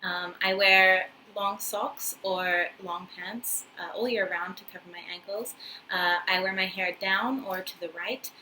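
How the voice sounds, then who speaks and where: A woman's voice: medium pitch, fairly even intonation, measured pace, pushed and bright, slight background noise, rough recording. A woman in her twenties with a New Zealand accent, chatting to the camera in a casual, friendly way with many ums and uhs, recorded close up in a small room. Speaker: vlogger, English teacher in Japan. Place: small room indoors, wooden floor, paper screens.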